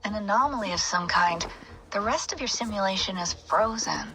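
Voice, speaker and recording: Woman acting a brisk, cautious tech analyst. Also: mid-range voice, animated, close to an everyday voice, rough recording. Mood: wary, focused. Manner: brisk, matter-of-fact. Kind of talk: naturalistic video game acting.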